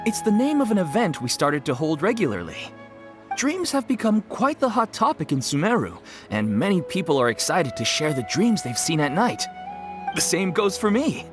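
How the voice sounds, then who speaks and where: A woman's voice: fairly low pitch, very animated, normal speed. A woman playing a polite, enthusiastic event organizer in an anime fantasy game, speaking in a formal, welcoming manner. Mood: polite, nostalgic. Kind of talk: anime game character acting. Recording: music behind the voice.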